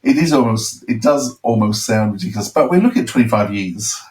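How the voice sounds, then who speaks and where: A man chatting casually as a podcast panellist, rambling and halting as he repeats words. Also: on the low side, animated, darkish tone, distant mic. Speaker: podcast panellist discussing audio dramas. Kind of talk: casual chat.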